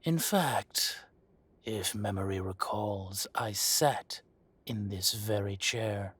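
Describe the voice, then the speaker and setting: A man playing an arrogant dragon mafia boss in an audio roleplay, speaking deliberately with mock politeness and quiet threat. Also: fairly low pitch, slightly rough, no echo, full character voice. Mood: coolly menacing. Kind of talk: scripted roleplay audio drama monologue.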